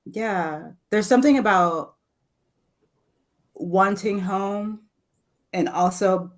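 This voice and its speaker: A woman in casual, reflective conversation, speaking thoughtfully in halting phrases. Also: medium pitch. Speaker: poet, guest speaker.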